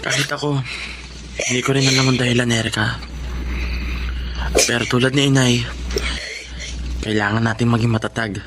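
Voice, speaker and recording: A man's voice: medium pitch, fairly even intonation, moderate pace, long pauses, lightly acted. A man playing a protective, reassuring young older brother in a radio drama. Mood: reassuring, firm. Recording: noisy background ambience.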